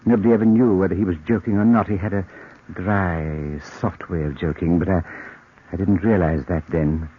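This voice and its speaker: An actor playing a desperate middle-aged murderer who narrates his crime in hushed, brooding tones, in 1940s radio acting style. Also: low voice, fairly even intonation, normal speed, breathy edge. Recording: tinny old radio recording.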